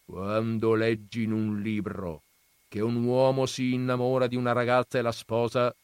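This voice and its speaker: A man reading aloud, voicing a stern old grandfather who questions his grandsons in a loud, commanding way. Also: mid-range voice, level tone, moderate pace, smooth, no room sound, natural voice.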